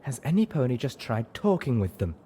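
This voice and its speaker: A man's voice: mid-range voice, sing-song, fairly quick, dark tone, a little hiss or hum, pitched above the performer's own voice, close to an everyday voice. A man voicing a prim, idealistic female pony medic in a storybook reading, scolding in proper, exasperated diction. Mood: pleading, exasperated.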